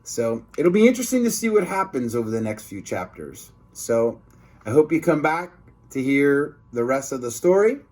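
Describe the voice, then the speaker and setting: A man reading a storybook aloud to children in an expressive, teacherly way, with asides to his young listeners. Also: mid-range voice. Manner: slow, deliberate, teacherly.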